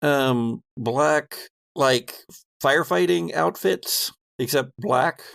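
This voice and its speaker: A man narrating a tabletop game as game master, improvising in a deliberate, halting, dramatic way. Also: fairly high pitch, creaky, a bit bright, no room sound, studio quality.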